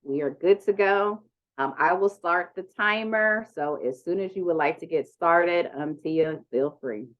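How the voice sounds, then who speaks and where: A woman with a deep voice introduces speakers on an online conference panel. She talks in a loose, chatty way, full of ums. The audio is dull and lo-fi, as if it came through a video call. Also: unhurried. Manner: relaxed, chatty, with many ums. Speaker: panel moderator.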